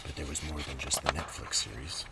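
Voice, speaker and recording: A man with an extremely low voice hosts a soft-spoken ASMR show-and-tell. He is hushed and often drops into a whisper. The recording is outdoors, a little away from the mic, with loud background noise. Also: a little fast, gravelly, recorded from a distance. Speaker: ASMR video host. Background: breeze and outdoor ambience.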